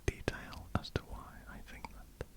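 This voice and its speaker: A woman with a croaky voice, whispering ear to ear for an ASMR question-and-answer video. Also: normal speed. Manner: soft unvoiced whisper with frequent ums. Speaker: ASMR artist. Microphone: ear-to-ear stereo mic.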